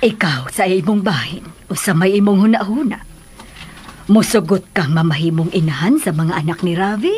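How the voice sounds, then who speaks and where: An actress playing a concerned madam advising a younger woman in a radio drama, measured, with a Cebuano Filipino accent. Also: fairly low pitch, darkish tone, a little hiss or hum, natural voice. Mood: probing, thoughtful.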